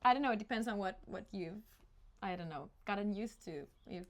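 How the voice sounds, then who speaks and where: A woman from Finland hosting a casual interview, chatty and animated, often laughing as she talks. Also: medium pitch, fairly quick. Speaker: interview host.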